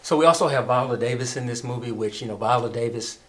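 A man's voice: mid-range voice, quick pace. A man in his thirties with an American accent, reviewing films conversationally as a co-host in a small room.

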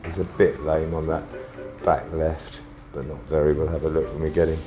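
A man rescuing a fox from a restaurant stairwell, chatting calmly to the camera and coaxing the animal softly. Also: very deep voice, moderate pace, over music. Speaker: wildlife rescuer. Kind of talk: on-the-job commentary.

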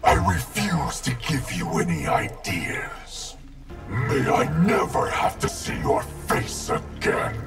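A man voicing a grim, proud wraith ninja in a video game, gravelly and slowly threatening. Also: lowish voice, sing-song, exaggerated voice. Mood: impatient contempt. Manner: slow, deliberate, threatening delivery. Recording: music playing behind.

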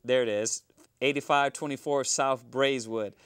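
A man in his thirties hosts a live cable talk show from a small studio. His voice is smooth and clear at a middling pitch, and the sound is dry and close. He rambles, restarting often with fillers. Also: steady tone, moderate pace, fairly bright tone, no echo. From Houston, Texas. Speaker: host.

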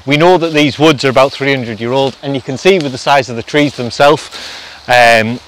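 A man's voice: mid-range voice, normal speed, a bit bright, slight background noise, rough recording. A middle-aged man, outdoors in a forest, speculating and explaining things as he goes in a casual vlog. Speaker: vlog host restoring a château. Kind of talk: casual walk-and-talk vlog narration.

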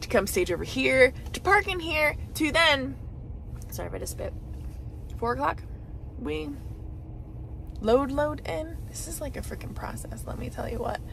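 An American woman in her thirties talks in an informal, playful vlog style. Her voice has a gravelly fry at the edges. She is recorded inside a vehicle, with a light rumble underneath. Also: very animated, moderate pace, long pauses, fairly bright tone, poor audio. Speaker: vlogger and host. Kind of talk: casual vlog chat. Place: inside a moving pickup truck.

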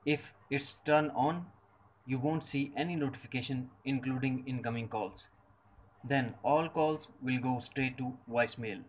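Slow-paced man narrating a tutorial. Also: medium pitch, rough recording. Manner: instructional, short separated phrases. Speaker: tutorial presenter. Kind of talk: scripted step-by-step tech tutorial narration.

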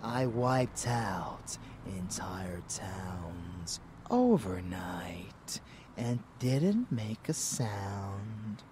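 Rebellious, doting vampire lover played by a man, ASMR audio drama. Very unhurried, low, intimate, sinister, slipping into whispers. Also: expressive, noisy background. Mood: boastful, menacing. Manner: intimate, drawling, often dropping to whispers. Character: rebellious murderous male vampire lover, doting yet bloodthirsty.

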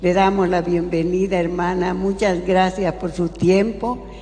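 A woman's voice: lowish voice, fairly even intonation, measured pace, warm tone. A woman hosting a gathering gives a welcome address and introduces a speaker to the audience. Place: large indoor parish hall. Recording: reverberant hall with background noise.

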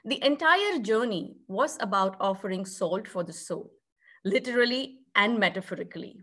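A woman's voice: medium pitch, expressive, normal speed, a bit bright. A woman presenting scripted narration on a video call, with reflective, poetic phrasing. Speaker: theatre artist presenting at a conference.

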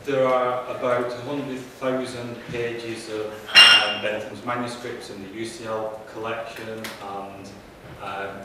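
A man's voice: lowish voice, recorded from a distance. A man on a conference panel, speaking into a handheld mic with frequent ums and restarts. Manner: halting, many ums and restarts. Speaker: panelist, professor. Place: conference room, seated at panel table. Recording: echoey room heard from afar, noisy.

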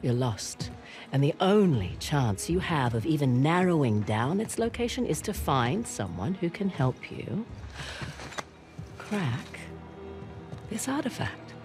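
A woman playing a brash, quick-witted treasure-hunting thief in a video game, with a sardonic, cocky delivery. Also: low-pitched, big pitch swings, a little mellow, soft background music, exaggerated voice. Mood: confident. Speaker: voice actor playing an adventurer. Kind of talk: cinematic video game acting.

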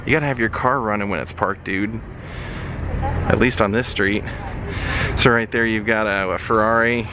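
A man with a fairly low voice narrates a casual walking tour outdoors, tossing out wry, offhand comments. He records on a camera mic as he strolls, and loud traffic and passing cars rumble behind him. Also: fairly even intonation, moderate pace, pauses often. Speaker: vlogger. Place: outdoors on a city shopping street. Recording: loud street noise behind.

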